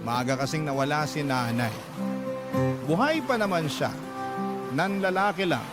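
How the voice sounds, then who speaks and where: A man's voice: a little high, lively intonation, unhurried. A man narrating a listener's letter as a radio host, in a dramatic, sentimental storytelling style. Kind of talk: dramatic scripted narration over music. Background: loud music.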